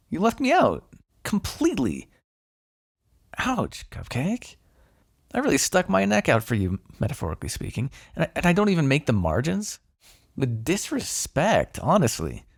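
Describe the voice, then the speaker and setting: A man acting a gravelly, sardonic vampire. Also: on the high side, wildly swooping pitch, moderate pace, pauses often, no room sound, studio quality, full character voice. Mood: hurt, indignant. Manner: sardonic, conversational, teasing, with sighs and swearing. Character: smug, teasing male vampire, wry and grudgingly fond. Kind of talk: intimate ASMR roleplay monologue.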